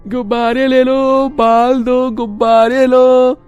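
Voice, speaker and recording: A man voicing a loud village balloon seller in a cartoon story, with drawn-out hawking calls. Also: very high-pitched, level tone, clear, resonant tone, dull audio, faint music behind, voice pushed up.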